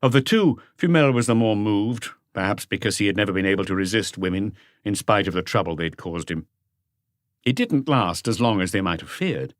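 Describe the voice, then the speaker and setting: Guy reading a novel, lowish voice, measured storytelling, moves around a lot in pitch, very dry sound. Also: expressive, no echo. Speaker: audiobook narrator. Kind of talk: scripted literary narration.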